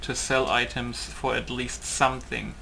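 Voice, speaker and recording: A man's voice: mid-range voice, level tone, a little slow, creaky, sharp tone, poor audio. A man giving casual, rambling playtest commentary on a video game, thinking aloud. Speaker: gaming video maker. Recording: game noise behind the voice. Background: video game ambience.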